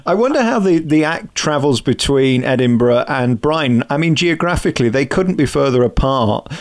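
A man's voice: medium pitch, animated, moderate pace. A man hosting a radio interview, asking questions in a teasing, chatty way. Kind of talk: chat show interview.

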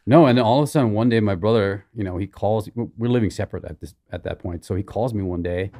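A man's voice: lowish voice, quick pace, dark tone. A middle-aged man from Hawaii telling his own story casually as a podcast guest on a studio mic. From Hawaii, born in the Philippines. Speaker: podcast guest, military veteran. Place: small indoor podcast studio. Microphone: studio mic on boom arm.

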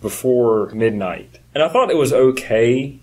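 A man with a medium-pitched voice chats casually as a podcast co-host. He speaks in an opinionated, explanatory way. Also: expressive, unhurried, poor audio. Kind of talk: casual chat.

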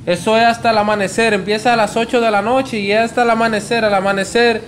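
A young man with a Dominican accent and a noticeably high voice works a prank phone call. He keeps insisting and pleading like a promoter closing a deal, with faint noise behind him. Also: fairly even intonation, quick pace, a bit bright. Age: 20s. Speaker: prank caller.

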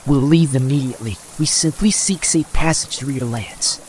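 A man's voice: medium pitch, normal speed, darkish tone, slight background noise, lightly acted. A man voicing a level-headed young male hero in a fantasy audiobook, earnest and reasoning. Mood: pleading.

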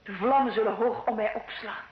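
A woman's voice: a little high, fairly quick, lightly acted. An actress playing a devout, resigned young woman prisoner and visionary in a solemn, theatrical style of old radio drama. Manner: solemn, theatrical, hushed to impassioned. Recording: dull, roomy old radio recording with noise.

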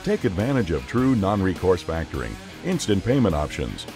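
A man's voice: low-pitched, very animated, normal speed, music in the background. A man reading a scripted promotional voice-over as a commercial announcer.

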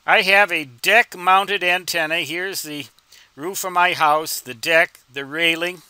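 Older guy in his 60s explaining stuff, slightly high voice, really dry sound, deliberate and emphatic. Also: steady tone, bright, forceful tone, clear, resonant tone, no echo. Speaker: instructor and ham radio hobbyist. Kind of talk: informal explanatory tutorial.

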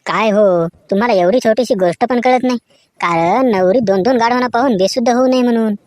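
Woman playing a high-voiced sassy cartoon wife. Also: brisk, a little mellow, a little hiss or hum, close to an everyday voice. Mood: sassy, teasing. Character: sassy, quick-witted wife in comic skits. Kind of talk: cartoon comedy skit dialogue.

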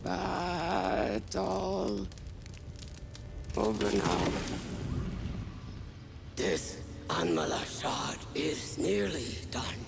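A weary, ancient alien native from a video game, resigned yet gentle. The speech crawls along in cryptic broken English, swinging widely in pitch. It creaks heavily and thins into breath, with music underneath. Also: big pitch swings, drawn-out words, long pauses, background noise, full character voice. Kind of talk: video game cutscene acting.

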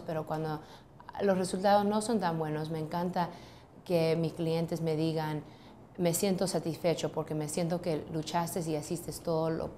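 A woman's voice: sits low, dark tone, slight background noise. A woman in her thirties, a lawyer, answering interview questions in an office, hesitant with many ums and restarts. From United States. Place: office, seated at a desk.

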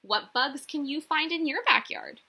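A young woman reading a picture book aloud to small children like a teacher, expressive and sing-song, often putting questions to them. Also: high-pitched, moderate pace, creaky. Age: young adult. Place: small indoor room.

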